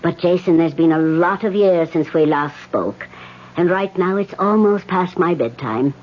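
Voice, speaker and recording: A woman's voice: fairly low pitch, measured pace, close to an everyday voice. An actress playing a gracious, courteous woman who is an old friend. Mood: cordial. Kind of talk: 1970s radio drama acting. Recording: dull old radio broadcast with faint hiss.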